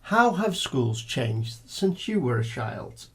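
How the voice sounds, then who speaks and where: A man's voice: medium pitch, big pitch swings, slow, noisy background. A man reading formal, scripted speaking-test instructions and questions as an examiner.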